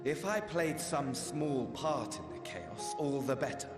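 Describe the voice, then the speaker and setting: An actor playing a spiteful, haughty, vengeful dead villain in a video game, with a sneering, formal, theatrical delivery. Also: male voice, mid-range voice, big pitch swings, unhurried. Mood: sneering, gloating. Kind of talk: dramatic video game dialogue. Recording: echoey hall reverb with music behind.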